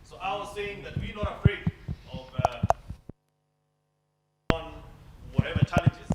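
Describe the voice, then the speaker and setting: A man giving a formal keynote address in a large hall, speaking in an emphatic, measured way with frequent pauses. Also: high-pitched, expressive, very noisy.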